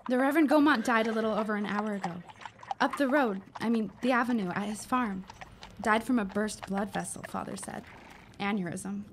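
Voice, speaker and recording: An actress playing an excitable, exuberant young woman in an audio drama, breathless and emphatic. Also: medium pitch, brisk, natural voice. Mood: urgent elation. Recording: clear background noise.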